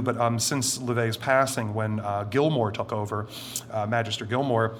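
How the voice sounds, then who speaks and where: A middle-aged man with a deep voice talks through his answers in a measured, explanatory way, leaning on certain phrases and stretching them out. A faint hiss of background noise sits under the recording. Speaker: interview guest. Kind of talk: conversational interview answers.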